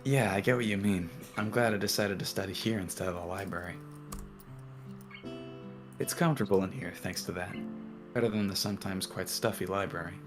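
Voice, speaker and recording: A man's voice: deep voice, fairly quick, long pauses, music in the background. A man reading a visual novel aloud as narrator on a stream. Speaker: reader voicing the lead.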